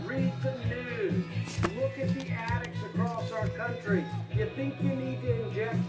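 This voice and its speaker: A woman's voice: a little high, fairly even intonation, unhurried. A woman giving impassioned, rhetorical commentary, heard as television playback in a room. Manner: rhetorical, emphatic. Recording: echoey television playback, loud music.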